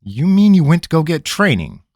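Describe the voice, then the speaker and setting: A man's voice: on the high side, huge pitch swings, moderate pace, a little mellow, dry recording, studio quality, pitched above the performer's own voice. A man voicing a stern, impatient male interrogator who questions bluntly and growls in exasperation.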